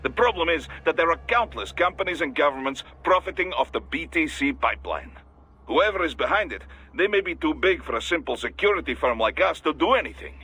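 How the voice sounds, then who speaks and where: An actor playing a gruff, businesslike Russian security boss in a video game, with a Russian accent. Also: male voice, fairly high pitch, lively intonation, sharp tone, full character voice. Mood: grave. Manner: businesslike, measured.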